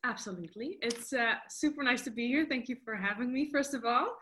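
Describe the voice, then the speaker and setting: A woman's voice: on the high side, fairly quick, bright, forceful tone, slight background noise. A woman, a vocal coach guest chatting loosely in an interview with many fillers. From Netherlands. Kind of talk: casual interview chat.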